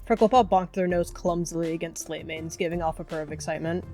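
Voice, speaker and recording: A woman's voice: on the low side, steady tone, fast, over music. A woman narrating a scripted roleplay story as narrator.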